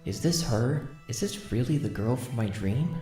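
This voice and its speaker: A man's voice: medium pitch, lively intonation, moderate pace, mellow, voice pushed up, natural voice. A flustered, self-doubting young man in a dramatic audiobook, acted by a man. He speaks in a raised voice, nervous and apologetic, faltering as he goes. Music sits faintly behind him. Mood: wondering.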